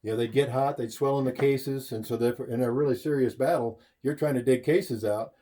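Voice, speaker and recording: A man's voice: mid-range voice, steady tone, a little fast, mellow. A man who owns the museum, giving an easygoing, knowledgeable guided tour. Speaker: museum owner.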